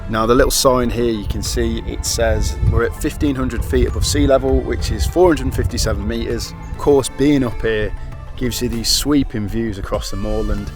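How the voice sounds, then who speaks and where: A man's voice: mid-range voice, moderate pace, music in the background. Upbeat man vlogging outdoors. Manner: chatty and upbeat, loose with fillers. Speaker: travel vlogger. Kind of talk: casual travel vlog narration to camera. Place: outdoors on a road trip.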